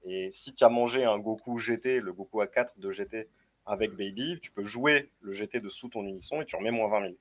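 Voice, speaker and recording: A man with a somewhat low voice chats casually on a card game panel. He states his verdicts plainly and with confidence, summing things up for the listener. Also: a little fast. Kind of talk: casual strategy discussion.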